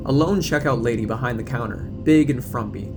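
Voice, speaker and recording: A man's voice: mid-range voice, a little fast. Male narrator for a scripted suspense audio drama, prominent music bed underneath.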